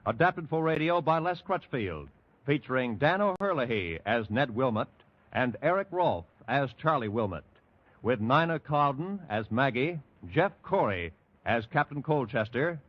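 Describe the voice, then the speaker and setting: Formal man announcing old radio credits. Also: mid-range voice. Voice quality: measured, formal delivery. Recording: muffled old radio broadcast.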